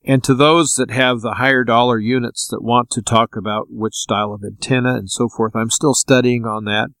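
A man speaks at a middle pitch in a dry, close recording, as a radio host would. He explains practical tips informally, in a plain-spoken and unhurried way. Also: fairly even intonation, vocal fry, a bit bright, no room sound. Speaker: radio show host giving advice.

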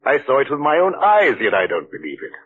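An actor playing a worried elderly village vicar in a 1940s radio drama, speaking in an earnest, fretful way. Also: male voice, mid-range voice, wildly swooping pitch, fast. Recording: thin, phone-like old radio recording.

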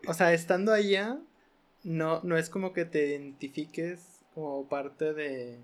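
A man's voice: on the high side, steady tone, measured pace. A man with a Mexican accent hosting a casual interview, informally rephrasing his questions as he goes. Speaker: podcast host.

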